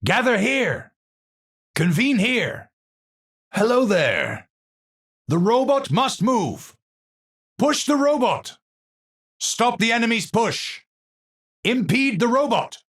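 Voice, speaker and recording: Wildly dramatic, eccentric Dutch scientist. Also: male voice, very high-pitched, big pitch swings, takes it slowly, pauses often, a bit bright, slightly rough, heavily acted. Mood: commanding. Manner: theatrical, formal scientific phrasing. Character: eccentric, unstable male scientist, lofty and absent-minded. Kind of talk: video game character voice lines.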